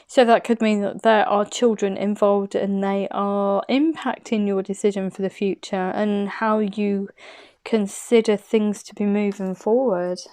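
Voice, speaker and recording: A woman's voice: mid-range voice, fairly even intonation, unhurried, a little mellow. A woman giving an intuitive tarot reading, chatty and effusive, thinking aloud as she turns the cards. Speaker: tarot card reader.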